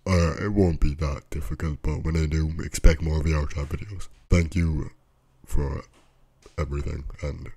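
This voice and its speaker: A young man, a gaming content creator, chatting casually in a deadpan, low-key way and swearing freely. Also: normal speed, creaky, breathy edge. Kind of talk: casual chat.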